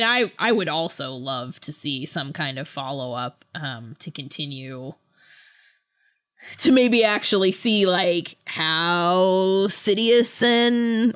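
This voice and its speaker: A woman's voice: fairly low pitch, slow, a bit bright. A woman co-hosting a podcast in a casual book chat, with a playful, giggly manner. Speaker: podcast co-host.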